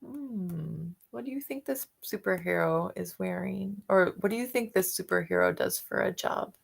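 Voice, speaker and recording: A woman reading a picture book aloud to children, expressive, with soft musing questions for young listeners. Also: medium pitch, normal speed, creaky, husky. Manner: expressive reading, alternating with softer musing asides and hums. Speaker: read-aloud storyteller for children.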